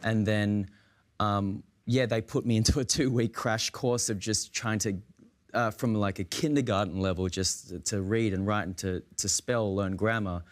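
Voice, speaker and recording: An Australian man, an actor on a roundtable, speaking in a searching, halting way with many restarts. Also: on the low side, steady tone. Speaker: actor guest. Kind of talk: roundtable conversation.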